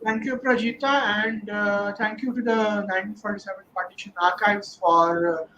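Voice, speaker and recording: High-voiced man, hesitant and rambling. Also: level tone, normal speed, bright, forceful tone, highs cut off, a little hiss or hum. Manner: hesitant, frequent "you know" and "uh". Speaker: guest speaker on literature. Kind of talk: conversational talk at a virtual event. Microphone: video call.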